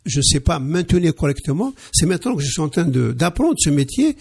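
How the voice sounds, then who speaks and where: Husky gravelly-voiced man, hesitant. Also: fairly high pitch, big pitch swings, a little fast, a little mellow. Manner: hesitant, repeats words, emphatic. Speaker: interview guest, car dealer. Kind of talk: radio interview.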